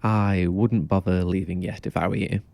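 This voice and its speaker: A man's voice: low-pitched, fairly even intonation, normal speed, vocal fry, darkish tone, no room sound. A man playing a laid-back young motel clerk in an audio drama, offhand but kindly.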